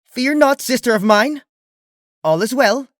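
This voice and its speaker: A storyteller voicing a proud, flustered pony princess of the night with a formal, archaic, booming manner. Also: expressive, a little slow, no echo, studio quality, lightly acted. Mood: nervous reassurance.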